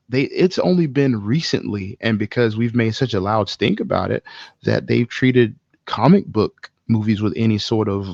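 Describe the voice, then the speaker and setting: A man's voice: mid-range voice, vocal fry, darkish tone, no room sound, lo-fi sound. A man co-hosting a podcast, chatting casually in a blunt, opinionated way. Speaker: podcast co-host. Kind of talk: casual chat.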